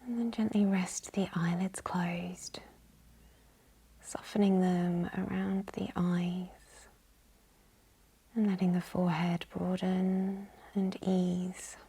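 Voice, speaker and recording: Female meditation guide, very breathy and near-whispered, slightly low, unhurried and soothing delivery. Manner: calm, gentle, unhurried. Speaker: yoga nidra guide. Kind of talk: guided meditation, slow hushed instruction.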